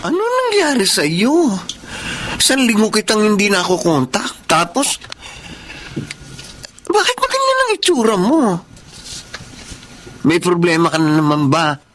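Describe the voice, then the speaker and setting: An actress playing a concerned, exasperated close friend in a radio drama, scolding yet caring and emphatic. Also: female voice, medium pitch, wildly swooping pitch, unhurried, pauses often, a bit bright, slight background noise. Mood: worried scolding. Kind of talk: Tagalog radio drama acting.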